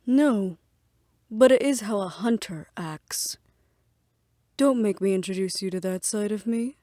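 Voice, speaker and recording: A woman's voice: medium pitch, unhurried, warm tone, no room sound, clean studio sound, close to an everyday voice. A woman playing a stern, protective department head and hunter in an audio drama, firm and authoritative. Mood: threatening. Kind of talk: dramatic audio roleplay acting.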